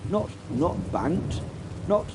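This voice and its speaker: A man's voice: fairly high pitch, very animated, drawn-out words, noisy background, heavily acted. A man voicing a plucky young English First World War pilot who narrates a horror audio drama in an upper-class English accent, rambling and stammering with self-deprecating asides. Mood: dramatic. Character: plucky young British WWI pilot, future wing commander.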